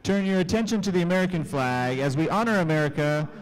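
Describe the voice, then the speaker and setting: A man making formal public address announcements before a college volleyball match, speaking in a measured way. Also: a little high, lively intonation, rough recording.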